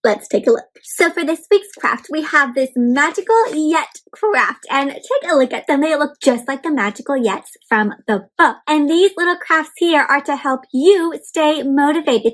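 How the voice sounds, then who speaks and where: A young woman hosting an interactive read-aloud for children, talking to them in an animated, encouraging way. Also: high voice. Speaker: children's storybook reader and host.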